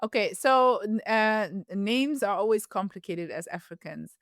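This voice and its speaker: A woman guest on a podcast, talking reflectively with plenty of pauses, fillers and restarts. Her voice is smooth and clear, recorded close and dry with no room sound. She sits in the middle of the usual pitch range for a woman. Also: lively intonation, no room sound. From Ethiopia, raised in the Netherlands. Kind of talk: casual interview conversation.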